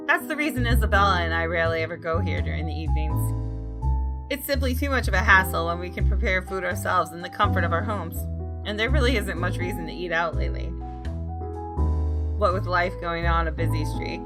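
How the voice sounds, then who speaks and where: A woman gamer reading a visual novel's first-person story aloud as expressive narration. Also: lowish voice, a little fast, music in the background.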